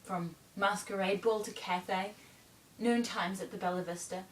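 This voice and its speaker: A woman performing a stage monologue as a troubled young bohemian runaway, confessing in a deliberate, haunted manner with pauses. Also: steady tone, close to an everyday voice. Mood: haunted, recounting. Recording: roomy, distant mic, faint rumble.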